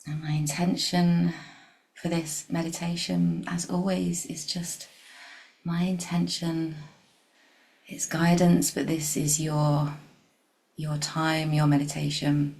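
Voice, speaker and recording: A woman leading a guided meditation in a soft, gentle, unhurried way, leaving long pauses and at times dropping toward a breathy whisper. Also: sits low, little pitch movement.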